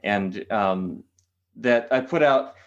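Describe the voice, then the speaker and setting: A man's voice: lowish voice, takes it slowly, highs cut off. A man from San Diego, a qigong teacher and podcast guest, explaining his practice earnestly and often restarting his phrases. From San Diego, California. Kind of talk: podcast interview answers.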